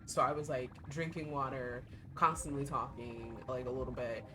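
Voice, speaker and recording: A young woman streaming a game, her voice hoarse and giving out, chatting in a rambling way with lots of fillers. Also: rumbling low, steady tone, normal speed, background noise. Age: young adult. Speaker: VTuber streamer. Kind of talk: casual livestream chat while playing a gacha game. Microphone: desk streaming mic. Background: game music.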